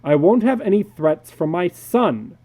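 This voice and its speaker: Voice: mid-range voice, animated, measured pace, warm tone, voice pushed up. A reader voicing a worried, defensive father in a dramatic story reading, with an earnest, questioning manner. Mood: stern.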